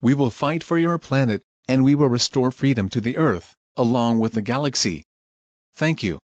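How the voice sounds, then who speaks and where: A man acts as a heroic male rebel leader making a resolute scripted address in a sci-fi drama. Mid-pitched, with dull and very dry audio. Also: animated, normal speed, darkish tone, dry recording, close to an everyday voice. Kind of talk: scripted speech.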